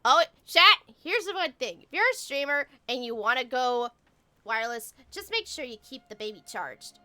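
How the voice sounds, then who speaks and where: Excitable woman streamer, high sharp voice. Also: moderate pace. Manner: excitable, talks to chat. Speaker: live streamer. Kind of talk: casual gaming livestream chatter. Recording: faint game music behind. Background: game music.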